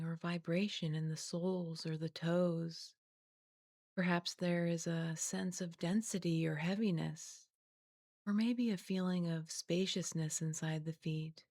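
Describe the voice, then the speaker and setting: A woman guiding a body scan meditation in a gentle, soothing, unhurried way, leaving long pauses between scripted phrases. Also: lowish voice, no room sound, studio quality. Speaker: guided meditation teacher.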